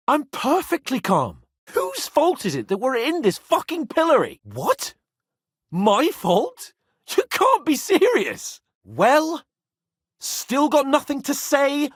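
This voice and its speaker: A man's voice: very high voice, dry recording, clean studio sound, heavily acted. An actor playing a haughty, hot-tempered young medieval nobleman in a video game, with a petulant, exclamatory manner. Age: young adult.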